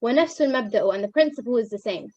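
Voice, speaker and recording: A woman's voice: a little high, lively intonation, racing, mellow, no room sound, muffled recording. A young woman from Pakistan whose first language is Urdu gives an earnest testimonial to fellow students, with many fillers and restarts. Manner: earnest, many fillers and restarts, switches between Arabic and English.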